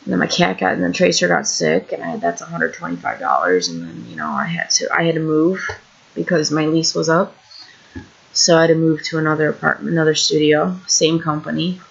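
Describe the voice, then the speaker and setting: A woman's voice: low voice, animated, a little fast, creaky, breathy edge, dull audio. A woman of about thirty from Illinois, talking in a rambling, frustrated and self-deprecating way about her troubles for her vlog, sitting on a couch at home. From Illinois, USA. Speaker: vlogger. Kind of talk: candid personal vlog rant. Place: indoors on a couch.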